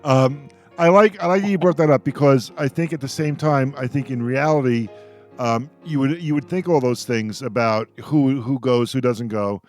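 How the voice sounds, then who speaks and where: A man's voice: medium pitch, normal speed, soft background music. A man chatting casually as a panelist in a discussion about television. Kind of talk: casual chat.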